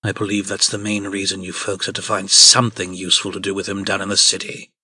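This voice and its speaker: A man's voice: low voice, monotone, quick pace, bright, forceful tone, husky, dry recording, heavily acted. A man voicing a belligerent, self-important talking pig in a comic dub, with a pompous, indignant manner. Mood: defiant. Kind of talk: comic dub character acting.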